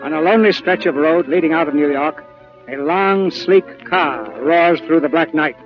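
A polished man narrates a vintage radio drama in formal, scripted style. His voice sits fairly high, on a dull old broadcast recording with some music. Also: normal speed. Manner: polished, formal presenter delivery. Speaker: radio theater host and narrator.

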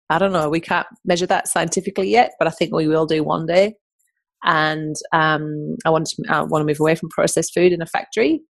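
A woman's voice: deep voice, vocal fry, dry recording. Podcast host, woman, English accent, middle-aged, enthusiastic and chatty, dry close sound. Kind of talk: casual podcast monologue.

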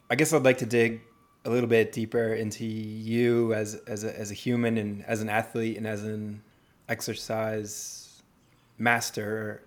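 A man in his thirties hosting an interview, speaking hesitantly and often repeating words as he searches for phrasing. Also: mid-range voice. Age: 30s. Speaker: podcast host. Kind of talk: conversational interview.

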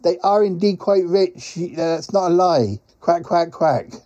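Casting: male performer as a fussy, polite duck courier for comedy audio; highish, hesitant, quack-punctuated, slightly muffled recording. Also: expressive, a little slow, warm tone. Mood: fretful. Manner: hesitant, rambling, quacks as a verbal tic. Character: flustered, polite talking duck courier, male, pie-stuffed traveller. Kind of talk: comic audio skit for a podcast.

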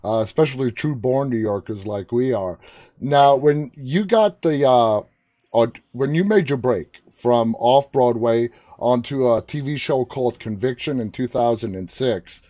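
A friendly man from Queens with a New York accent and a mid-range voice. He asks his questions eagerly and warmly. The sound is close and dry, with no room echo. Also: medium pitch, measured pace. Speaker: interviewer. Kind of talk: interview chat.

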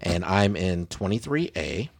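A man's voice: deep voice, expressive, measured pace, creaky, dry recording. A man hosting a comedy podcast, ranting theatrically and peppering his talk with "okay?" and "I digress.". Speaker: podcast host. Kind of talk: casual comedic podcast banter.

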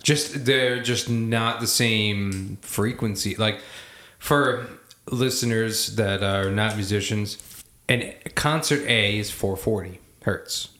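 A man's voice: lowish voice, slow pace, pushed and bright. A man co-hosting a casual band podcast, rambling and self-deprecating and laughing often. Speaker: podcast co-host, band member. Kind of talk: casual chat. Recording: echoey room.

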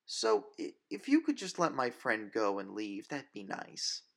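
A man's voice: fairly low pitch, wildly swooping pitch, measured pace, full character voice. A man voicing a sweet, nervous but brave young woman in an audio story, speaking hesitantly and politely with stammers. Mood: strained politeness. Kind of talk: audio story character dialogue.

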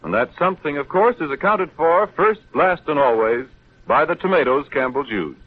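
A man announcing in polished, scripted 1930s radio sales style with measured delivery. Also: a little high, lively intonation. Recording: thin, narrow-band 1930s radio broadcast.

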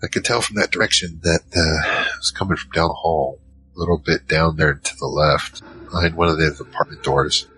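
An actor playing a giant troll, worried and soft-hearted, narrating in the first person in an audio drama. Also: male voice, very deep voice, fairly even intonation, a little fast, slight background noise, rough recording. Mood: recounting, uneasy. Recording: music behind.

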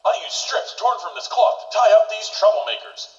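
A man playing an excitable, squeaky-clean, bumbling superhero in a comic-book radio play, with corny wide-eyed exclamations. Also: normal speed, slightly rough, distant mic, faint music behind, heavily acted. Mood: earnest. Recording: dull lo-fi, off-mic radio-style recording.

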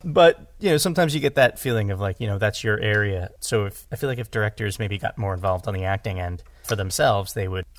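A man's voice: fairly low pitch, fast, few pauses, dry recording. A man in a casual discussion as a podcast guest, thinking aloud and often repeating his words. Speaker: podcast guest, audio drama director.

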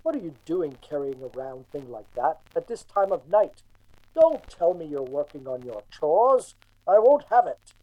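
A man's voice: a little high, sing-song, measured pace, lo-fi sound. An actor playing a suspicious, scolding male head of a rural household in a period radio drama.

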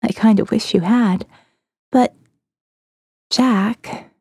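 A woman's voice: a little high, extremely slow, mellow, husky, no echo, studio quality, exaggerated voice. A woman voicing a candid, amused and wistful young woman in an audio novel, with a shrugging manner that tends to trail off. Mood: regretful, nostalgic.

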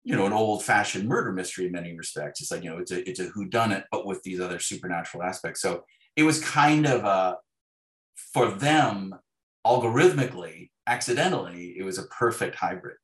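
A man's voice: sits low, lively intonation, brisk, a bit bright. A man speaking as an interview guest, reflecting conversationally and often restarting his phrases as he thinks. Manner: hesitant, self-correcting, thoughtful phrasing with restarts. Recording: roomy, echoing sound.

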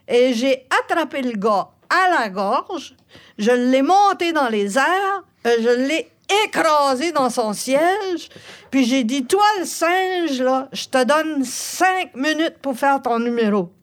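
Older acadian lady, chatty storyteller, laughs a lot, kinda high voice. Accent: Acadian French. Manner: lively storyteller, laughs often. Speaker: singer-songwriter guest. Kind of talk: interview.

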